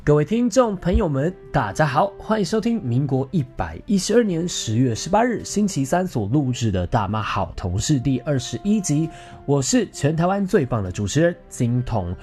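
Man in his 30s, Taiwanese Mandarin accent, mellow tone, very lively intonation, chatty podcast host, theatrical. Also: fairly high pitch, moderate pace, faint music behind. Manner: theatrical, gossipy, drops in English words. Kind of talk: casual chatty monologue to listeners.

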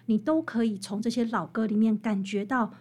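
A woman's voice: fairly high pitch, fairly even intonation, fairly quick, darkish tone, slightly rough. A woman with a Taiwanese Mandarin accent explaining things emphatically and animatedly as an interview guest. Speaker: guest author, researcher of popular songs. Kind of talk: interview conversation, explanatory storytelling.

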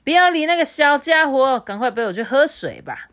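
A woman's voice: high-pitched, big pitch swings, a little fast, voice pushed up. A woman voicing a small rabbit who bosses the others around like a stern elder. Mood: bossy. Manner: loud, imperious.

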